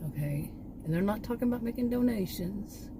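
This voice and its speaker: A woman vlogger chatting casually, meandering and restarting her sentences, her voice going hoarse. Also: mid-range voice, lively intonation, a little fast, background noise. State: losing her voice. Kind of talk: rambling casual chat. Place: outdoors.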